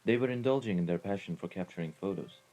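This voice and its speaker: Man playing a deep, dark-voiced mafia henchman. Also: fast, low-quality recording. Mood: persuasive. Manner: polite, persuasive, formal. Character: deferential, smooth male mafia henchman. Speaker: ASMR roleplay voice actor. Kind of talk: ASMR roleplay drama. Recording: music behind the voice. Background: classical background music.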